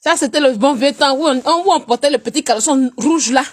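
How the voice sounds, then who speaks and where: Woman with a cold, chatting on her live stream, kinda high voice, loud one sec then mumbly. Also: fairly quick. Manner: animated, jumps between loud teasing and low murmurs. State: stuffy, has a cold. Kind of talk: casual live-stream chat.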